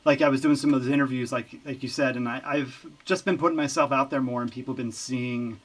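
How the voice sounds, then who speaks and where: A man who works as a recording engineer, chatting as an interview guest in an easygoing, halting way. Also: medium pitch, fairly even intonation. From Lehigh Valley, Pennsylvania. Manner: easygoing, halting, with many fillers and restarts. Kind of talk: casual interview chat.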